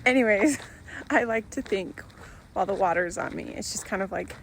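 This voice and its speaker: A woman's voice: mid-range voice, moderate pace, gravelly, a little hiss or hum, low-quality recording. A middle-aged woman chatting casually and in a rambling, giggly way as she films a vlog. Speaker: vlogger. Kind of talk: casual chat to camera.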